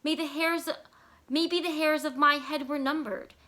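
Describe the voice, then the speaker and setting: A woman plays an eager young wife from a storybook, pitched high and bright. She gushes and pleads tenderly, stressing her words. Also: steady tone, unhurried. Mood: anxious, pleading. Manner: gushing, emphatic, pleading. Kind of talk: dramatized short story reading.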